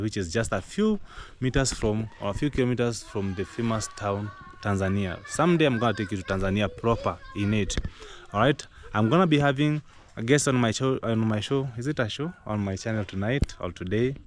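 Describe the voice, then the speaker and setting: A man's voice: fairly low pitch, a little fast. Upbeat Kenyan man vlogging outdoors. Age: young adult. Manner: chatty, upbeat, repeats phrases. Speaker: vlog host. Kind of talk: casual outdoor vlog chat. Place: village compound outdoors. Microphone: action camera, no mic. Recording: noisy outdoor camera audio.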